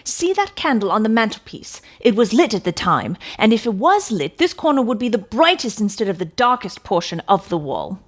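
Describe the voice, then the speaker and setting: A woman's voice: medium pitch, very animated, fairly quick, hardly any pauses. A woman playing a pompous, self-satisfied little police detective, boastful and emphatic, in a dramatic Victorian detective story reading. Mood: triumphant.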